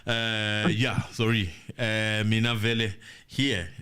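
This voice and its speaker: A man's voice: on the low side, flat delivery, slow pace, pushed and bright. A South African man hosting a casual live stream on a headset, jovial and apologetic, slipping into Zulu phrases. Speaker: host.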